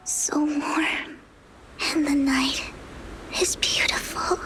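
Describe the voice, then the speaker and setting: A woman voicing a devoted, dramatic young gothic heroine in a game cutscene, speaking in hushed whispers or anxious fussing. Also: high-pitched, flat delivery, extremely slow. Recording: game cutscene with music behind.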